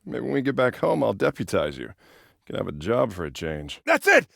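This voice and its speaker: An actor playing an exasperated, protective older-brother cop in a video game, with an American accent and a sarcastic, bantering manner. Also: male voice, on the low side, big pitch swings, brisk, vocal fry, dry recording, studio quality, heavily acted. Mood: wry banter. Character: exasperated, protective big-brother cop, sardonic young man. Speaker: video game character, police officer. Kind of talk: naturalistic video game voice acting.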